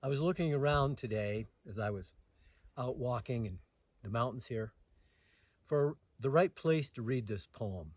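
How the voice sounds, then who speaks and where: A man reading poetry aloud outdoors as host, with dramatic phrasing and lines that trail off softer. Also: medium pitch, lively intonation, a little slow. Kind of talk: poetry recitation with casual introduction. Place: outdoors in the mountains.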